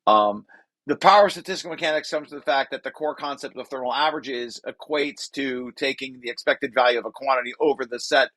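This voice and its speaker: Guy doing a technical read-through, mid-low voice, stops and restarts while explaining, pretty dry sound. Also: brisk, fairly bright tone, dry recording. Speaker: presenter and paper author.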